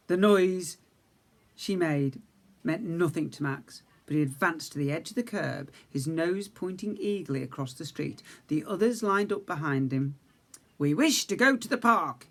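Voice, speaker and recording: A male teacher reading a storybook aloud to young children, lively, voicing characters higher and dropping to quiet asides. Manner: lively reading, raises pitch for characters' speech; self-corrects slips mid-sentence.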